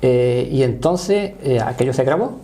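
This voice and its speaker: A man in his sixties with a Spanish accent explains and recounts his experience in a halting, conversational way, often checking he is understood. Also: mid-range voice, animated, mellow. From Spain. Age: 60s. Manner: halting, often seeking confirmation. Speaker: interview guest, paranormal voice researcher.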